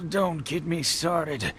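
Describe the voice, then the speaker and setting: A man's voice: fairly high pitch, background noise, exaggerated voice. An actor playing a fretful, grumbling old village man in a video game. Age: older.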